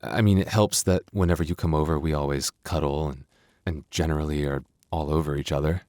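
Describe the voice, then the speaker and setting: A man acts out a young werewolf boyfriend with an extremely deep voice in an intimate audio roleplay. He is gentle and earnest, hesitating and stumbling over his words as he opens up. The sound is dry, with hardly any room on it. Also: steady tone, fairly quick, dry recording. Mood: bashful, affectionate. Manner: soft, earnest, hesitant, stammering.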